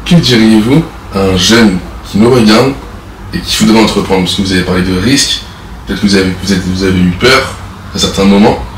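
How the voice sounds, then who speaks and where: A male interviewer with a fairly low voice puts formal questions politely. He is a little off-mic in an echoey room, so the sound is reverberant and slightly distant. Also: fairly quick. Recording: roomy echo, distant mic, faint noise.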